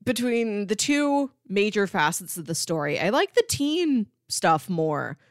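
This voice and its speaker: A woman's voice: mid-range voice, very animated, a little slow, no echo, studio quality. A woman co-hosting a podcast, chatting casually and informally as she gives her opinions. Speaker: podcast co-host. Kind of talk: casual chat, informal review.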